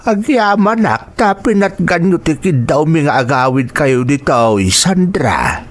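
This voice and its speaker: A man's voice: a little high, lively intonation, a little slow. Solemn elderly Filipino grandfather, acted by a man. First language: Ilocano. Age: older. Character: elderly grandfather and father-in-law, solemn and gentle. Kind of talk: emotional audio drama acting.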